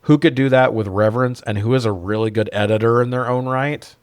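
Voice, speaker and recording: A man's voice: mid-range voice, steady tone, a little fast, smooth, dry recording, studio quality. A man co-hosting a casual film review, informal and wry, rambling into asides and swearing freely. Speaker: podcast co-host reviewing films.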